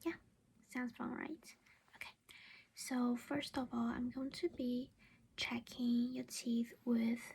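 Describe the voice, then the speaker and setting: A woman playing a doting vampire mother in an ASMR roleplay, speaking in a breathy whisper, gentle and coaxing as if to a child, close to the microphone. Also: fairly high pitch, flat delivery, slow pace, a little hiss or hum. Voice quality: breathy whisper with soft creaky voiced patches.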